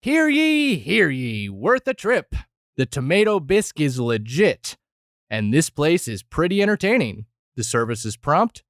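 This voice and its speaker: A man's voice: fairly high pitch, sing-song, measured pace, dry recording, clean studio sound. A man voicing an enthusiastic online reviewer with a mock-dramatic town-crier flourish. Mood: gleeful proclaiming.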